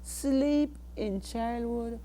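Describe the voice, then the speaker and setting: An older Jamaican woman reciting poetry in a solemn, measured, declamatory manner. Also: fairly high pitch, warm tone, slight background noise. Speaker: poet.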